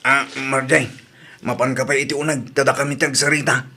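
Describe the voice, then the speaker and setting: A man's voice: medium pitch, little pitch movement, a little fast, bright, forceful tone, a little hiss or hum. A man with an Ilocano Filipino accent acting as an earnest lover and single father in a radio drama. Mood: startled.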